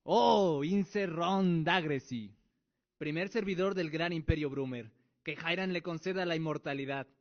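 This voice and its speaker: Radio drama role: courteous, ceremonious barbarian messenger, high male voice, acted by a man, muffled lo-fi audio. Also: a little slow. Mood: deferential. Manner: formal, ceremonious, deferential. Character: deferential male envoy of a barbarian nation. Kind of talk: Spanish-language radio drama acting. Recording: dull lo-fi audio.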